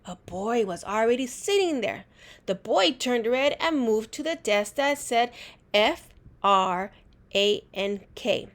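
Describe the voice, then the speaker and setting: A woman reads a picture book aloud for young children. Her voice sits fairly high and moves through wide, lively pitch swings. She takes her time, telling it expressively like a teacher at storytime. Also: takes it slowly. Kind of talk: animated storybook read-aloud.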